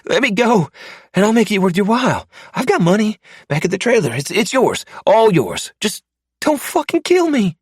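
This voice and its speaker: A man voicing a panicked, sleazy lowlife man who frantically begs for his life in a strained, pitched-up voice. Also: big pitch swings, normal speed, husky, no echo, studio quality, full character voice. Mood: panicked pleading. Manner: frantic, pleading, later sneering. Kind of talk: audiobook character voice.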